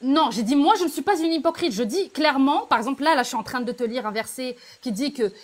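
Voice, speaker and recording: A woman in a live debate, speaking haltingly with many fillers as she quotes religious texts and presses her questions. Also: high voice. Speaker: debate guest.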